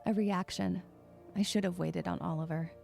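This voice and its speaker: A woman's voice: lowish voice, steady tone, normal speed, vocal fry, darkish tone, soft background music. A woman playing an anxious, withdrawn young woman who narrates her own story in a dramatic, introspective and sometimes hesitant way. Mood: tense narration. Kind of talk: first-person dramatic narration with dialogue.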